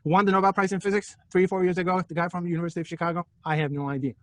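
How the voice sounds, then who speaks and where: A man's voice: sits high, very fast, a bit bright. A middle-aged man from Turkey lecturing a physics class, joking and throwing rhetorical questions at his students.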